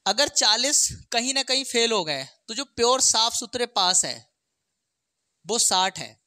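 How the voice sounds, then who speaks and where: A maths teacher takes learners through exam problems one step at a time. The voice is bright and sharp, recorded dry with little room sound. Short questions punctuate the explanation to check that listeners follow. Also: medium pitch, big pitch swings, unhurried, dry recording.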